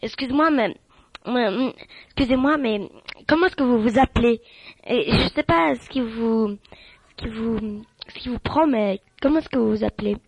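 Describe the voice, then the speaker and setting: Hurried woman doing a mock show opening. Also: on the high side, slightly rough, poor audio. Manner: hurried, fake-formal. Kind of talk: improvised playful sketch.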